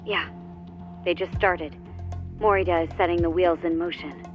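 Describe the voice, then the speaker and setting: A woman's voice: fairly low pitch, steady tone, normal speed. A woman playing a composed, supportive informant ally in a video game, heard through a phone filter. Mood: concerned, composed. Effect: phone call filter. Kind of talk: English video game cutscene acting. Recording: dull phone call, music behind.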